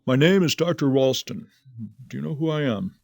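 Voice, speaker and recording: An actor playing a calm, reassuring hypnotist doctor in an audio drama, with a measured, soothing, commanding delivery. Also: male voice, mid-range voice, creaky, no echo, studio quality. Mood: probing.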